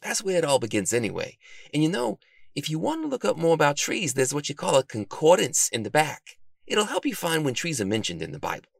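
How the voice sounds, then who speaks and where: A man's voice: fairly high pitch, huge pitch swings, fairly quick, creaky, dry recording, clean studio sound, voice pushed up, exaggerated voice. A man voicing an eager, helpful, bookish male library clerk in a storybook, cheery and confident.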